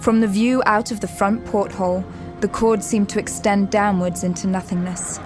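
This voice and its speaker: Female narrator for audio drama: mid-range, measured, suspenseful storytelling with clear musical score. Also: medium pitch, steady tone, music in the background.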